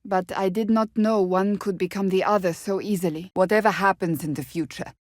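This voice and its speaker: A woman's voice: medium pitch, fairly even intonation, brisk, darkish tone, dry recording, studio quality, lightly acted. An actress playing a stern, devout warrior woman in a fantasy video game, speaking in a formal, earnest, blunt way. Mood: measured, grave. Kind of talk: dramatic fantasy game acting.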